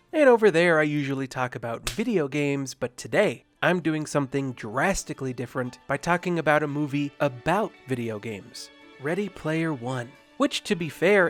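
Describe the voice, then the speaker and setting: A man hosting a video game channel, narrating a comedic scripted movie review with sarcastic, self-deprecating jokes. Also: fairly high pitch.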